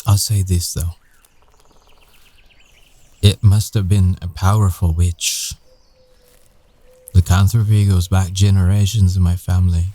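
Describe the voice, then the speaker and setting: A man playing a tender, weary werewolf ex-boyfriend in an intimate ASMR roleplay, with hushed, halting delivery. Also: low voice, flat delivery. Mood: wry, explaining.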